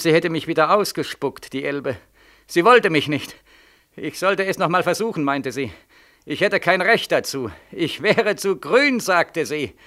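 An actor in a 1940s German radio play voicing a bitter, despairing young soldier home from war, sarcastic and emphatic. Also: male voice, fairly high pitch, pushed and bright. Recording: vintage 1940s radio studio recording.

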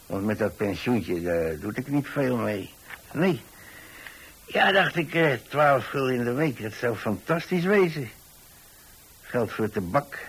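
A man's voice: mid-range voice, very animated, a little slow, a bit bright, breathy edge, heavily acted, poor audio. An actor playing a mild, rambling old widowed pensioner with a Dutch accent, hesitantly telling his story in a radio play. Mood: pleased, musing. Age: 60s or older.

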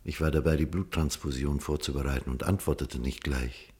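An actor playing an earnest doctor in a German radio drama, speaking with urgent, firm reasoning. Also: male voice, very deep voice, steady tone, fairly quick, a little mellow. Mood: grave narrating. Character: earnest male doctor recounting and pleading with a patient. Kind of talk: German radio drama acting and first-person narration.

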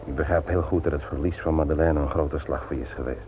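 An actor in a radio play, playing an earnest family man who speaks frankly and in a measured way. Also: very deep voice, steady tone, mellow. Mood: earnest, admonishing. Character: Willem, earnest frank friend, husband and doting father. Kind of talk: Dutch radio play acting. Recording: tinny, noisy old radio play recording.

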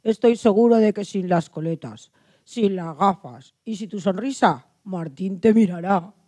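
Smug male know-it-all for children's radio drama woman acting it, coaxing, raised pitch, mellow, dry sound. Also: unhurried, no room sound, close to an everyday voice. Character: smug male adviser telling a girl to stop smiling. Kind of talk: radio play of a children's picture book.

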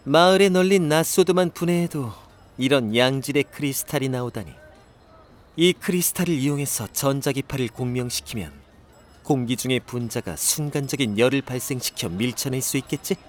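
A man's voice: mid-range voice, moderate pace, close to an everyday voice. A man playing an arrogant, irritable young inventor, haughty and curt, in an audio drama. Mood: pensive muttering. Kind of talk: Korean audio drama acting.

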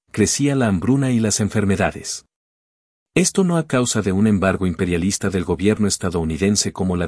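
Cuban man reading an audiobook, lowish husky voice, steady and earnest, middle-aged. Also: dry recording, clean studio sound. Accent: Cuban Spanish. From Cuba. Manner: earnest, steady reading with long listing phrases. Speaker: audiobook narrator.

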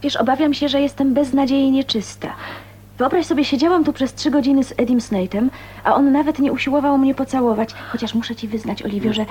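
A woman's voice: high-pitched, steady tone, fairly quick, darkish tone, lightly acted. An actress in a radio drama playing a wry, defiant spinster in her twenties, plain-spoken and ironic. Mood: playful, candid. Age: 20s. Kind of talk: 1980s radio drama acting. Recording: faint noise on radio recording.

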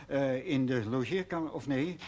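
An actor playing a nervous, pedantic village schoolmaster in a television period drama, flustered and precise. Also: male voice, medium pitch, normal speed, natural voice. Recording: faint noise, old TV broadcast audio.

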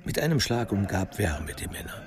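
A man narrating a crime radio drama in a measured, literary storytelling manner. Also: on the low side, sing-song.

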